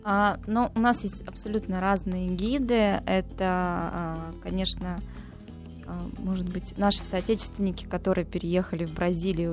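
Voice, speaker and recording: Enthusiastic woman guest with fillers. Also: mid-range voice. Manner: enthusiastic, hesitant with frequent fillers. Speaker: travel agency guest. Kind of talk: radio interview.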